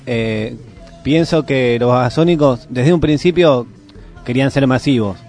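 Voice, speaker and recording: A man's voice: mid-range voice, measured pace, darkish tone, faint music behind. A man with an Argentine accent, co-hosting a radio show in casual, opinionated chat. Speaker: radio co-host.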